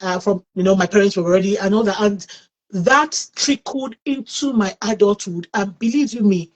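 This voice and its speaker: A woman's voice: mid-range voice, moderate pace, rough recording. A Nigerian woman in her thirties or older hosting an interview, thanking her guest effusively and often repeating words as she speaks. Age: 30s or older. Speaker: host.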